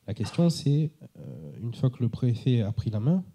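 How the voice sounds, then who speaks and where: A man gives a didactic answer at a conference. His voice is husky and mid-pitched, with a very dark tone. The wording is formal and measured. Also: sing-song. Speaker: expert answering audience questions. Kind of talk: unscripted structured answer at a conference.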